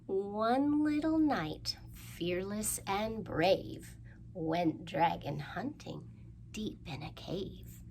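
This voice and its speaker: Female storytime host for young kids: playful, encouraging, animated intonation, unhurried delivery, some background noise. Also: medium pitch. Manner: playful, encouraging, asks listeners to join in. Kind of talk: interactive rhymes and craft talk for young children.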